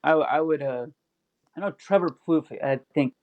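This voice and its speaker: Gravelly man rambling on a podcast. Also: on the high side, unhurried. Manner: rambling, many "like" fillers and restarts. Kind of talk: casual podcast chat. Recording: dull lo-fi audio.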